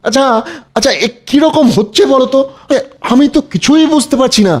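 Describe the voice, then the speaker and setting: A man's voice: very high-pitched, fairly quick, a little mellow. A man voicing a bewildered, anxious new king in a cartoon, flustered and repeating his words. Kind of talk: cartoon voice acting.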